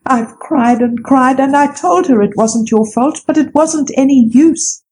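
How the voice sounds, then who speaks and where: Casting: woman as a tearful young girl, high and soft, mournful. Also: a little slow. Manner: sobbing, mournful.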